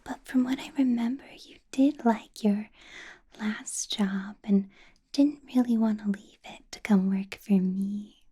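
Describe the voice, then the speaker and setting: A woman voicing a doting, possessive vampire boss in a soft, breathy ASMR whisper, intimate and coaxing with quiet menace. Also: medium pitch, measured pace. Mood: coaxing, veiled menace.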